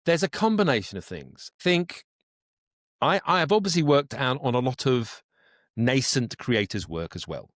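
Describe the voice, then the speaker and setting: A man's voice: mid-range voice, very animated, moderate pace, no echo, studio quality. A man speaking as an interview guest, a voice actor explaining his work in a reflective, conversational way.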